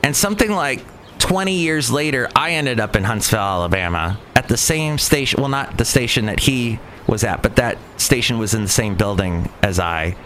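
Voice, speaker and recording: A man's voice: mid-range voice, expressive, normal speed, fairly bright tone. Middle-aged American man reminiscing over background noise. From Southern California, United States. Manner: conversational, self-correcting, digressive. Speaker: veteran radio broadcaster telling personal stories. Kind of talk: casual rambling monologue of reminiscences.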